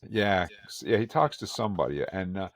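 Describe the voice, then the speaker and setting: A man's voice: sits low, a little fast, no room sound. A man co-hosting a podcast, chatting casually and animatedly as he reviews an episode. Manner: animated, exclaiming and joking. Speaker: podcast co-host.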